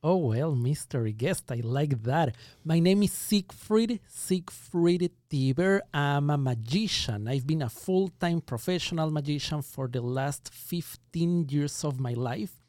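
A man from Ecuador in his thirties with an Ecuadorian Spanish accent, speaking as a guest in an interview and explaining his ideas in careful, measured phrases. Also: fairly high pitch, expressive, darkish tone, no room sound, studio quality. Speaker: guest magician. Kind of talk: reflective conversational interview.

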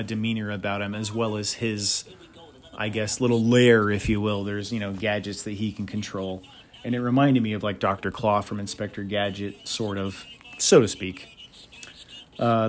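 A man hosting a solo podcast, giving blunt, opinionated reviews with crude humor. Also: fairly low pitch. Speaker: podcast host.

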